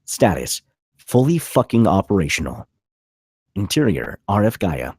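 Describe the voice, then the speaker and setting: A man's voice: low voice, very animated, a little slow, a little mellow, slightly rough, no room sound, clean studio sound. A man reading stage directions as dramatic scripted narration at a table read.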